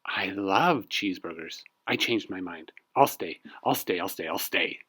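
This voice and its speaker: A man voicing a timid, excitable, polite young girl in a storybook read-aloud. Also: low voice, unhurried, slightly rough, exaggerated voice. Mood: excited.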